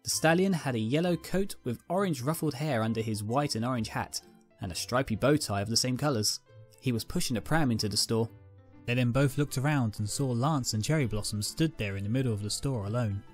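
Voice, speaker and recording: A woman's voice: a bass voice, fairly quick, darkish tone, faint music behind. A woman narrating a scripted fan fiction story as its reader.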